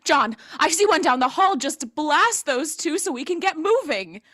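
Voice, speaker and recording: A woman's voice: sits high, very animated, normal speed, a bit bright, dry recording, studio quality. A woman playing an eager, impatient rookie zombie hunter in a comedic audio play, speaking with earnest urgency. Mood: impatient, urgent.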